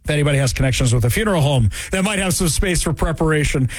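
A man hosting a talk radio show, chatting in an animated, wry way. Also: rapid-fire, husky, no echo. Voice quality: high-pitched laugh. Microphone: studio microphone.

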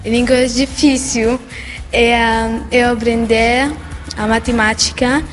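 Teenage girl in halting learner's Portuguese. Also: a little high, flat delivery, big-room sound, faint music behind. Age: teens. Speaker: interviewed student. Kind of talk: news interview.

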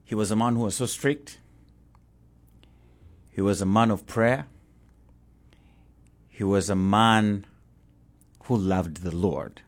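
Ugandan pastor guy, middle-aged, earnest, lowish husky voice, repeats himself a lot. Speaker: pastor, guest. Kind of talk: interview.

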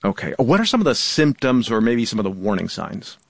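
A man's voice: medium pitch, sing-song, fairly quick, no echo, studio quality. A man hosting a radio news interview, putting questions to a guest.

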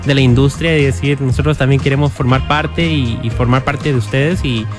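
A man's voice: medium pitch, steady tone, moderate pace, over music. A young man from Costa Rica, a filmmaker guest answering radio interview questions with many fillers and restarts. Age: young adult.

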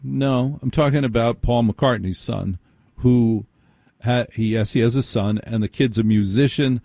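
An American man hosting a live call-in radio show, blunt and sardonic, quick to cut in. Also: medium pitch, gravelly, mellow, no room sound, studio quality.

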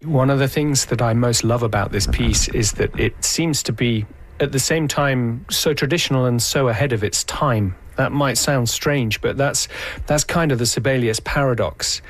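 A man who conducts orchestras, speaking thoughtfully about music and pausing to search for words. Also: mid-range voice. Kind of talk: interview remarks.

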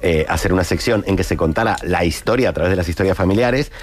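A man's voice: sits low, fast. A man chatting casually as a radio contributor, informal and enthusiastic in his delivery.

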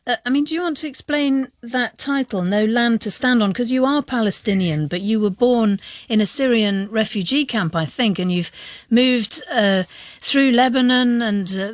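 Woman news interviewer, measured and probing, dry studio sound. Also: fairly high pitch, dry recording.

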